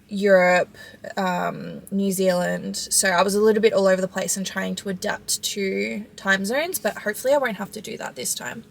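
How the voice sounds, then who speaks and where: A woman of about twenty-five speaks with an Australian accent at a medium pitch. She talks casually, as in a vlog answering questions from followers. Her phrasing is loose and informal, dotted with "like" and sentences that trail off. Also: fairly bright tone. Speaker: vlogger and sprinter answering audience questions.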